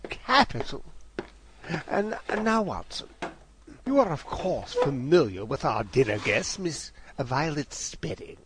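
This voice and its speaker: A man's voice: a little high, very animated, slow pace, heavy background noise. A man playing a cheerfully condescending Victorian detective in an audio drama, with a grand, formal, theatrical delivery. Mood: jovial, teasing.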